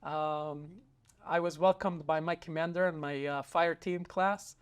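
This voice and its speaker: A man's voice: fairly high pitch, steady tone, measured pace, smooth. A young man from Israel, an interview guest telling a personal story in an earnest way, with frequent hesitant fillers and restarts.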